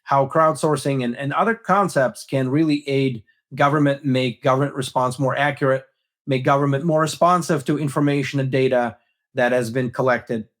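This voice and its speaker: A man speaks as a guest expert. His delivery is measured and analytical, and his pitch sits in a middle range. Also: steady tone, a bit bright. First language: Russian. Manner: measured, explanatory, uses "right?" as a check. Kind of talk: analytical interview talk.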